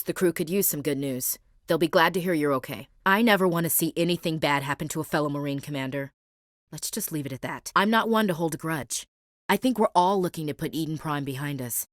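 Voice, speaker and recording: A woman's voice: deep voice, no room sound. An actress playing a blunt, protective young female Marine in a video game, talking in a dry, wry military way. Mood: earnest. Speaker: soldier. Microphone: close studio mic.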